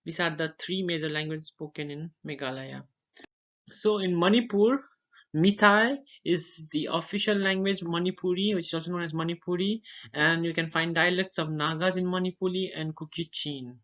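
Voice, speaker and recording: A man's voice: fairly high pitch, fairly even intonation, a bit bright. Young guy from darjeeling, nepali his first language, giving a class talk, lists stuff one by one, muffled lo-fi audio. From Darjeeling, India. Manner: lists items methodically, pausing to recall names. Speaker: student presenter. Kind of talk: informal educational presentation. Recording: dull lo-fi recording.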